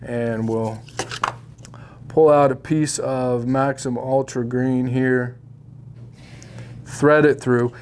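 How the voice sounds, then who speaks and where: A man's voice: a little high, a little mellow, clean tone. A middle-aged man giving a casual, opinionated fly fishing tutorial to camera in a shop studio, with plenty of ums and uhs, on a lapel mic.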